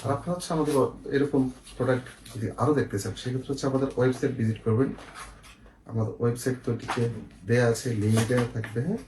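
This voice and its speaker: A man's voice: medium pitch, steady tone, racing. Bangladeshi man demonstrating products, echoey room. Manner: repeatedly checks in with the viewer. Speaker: shop seller presenting products. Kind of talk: casual product demonstration with explanations. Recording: echoey room, faint noise, clicks.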